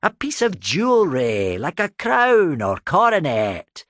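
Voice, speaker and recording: A man's voice: on the high side, huge pitch swings, measured pace, fairly bright tone, no room sound, clean studio sound, pitched above the performer's own voice, full character voice. Man voicing a pleading, guilt-ridden scholar. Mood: insisting. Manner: pleading, voice cracking.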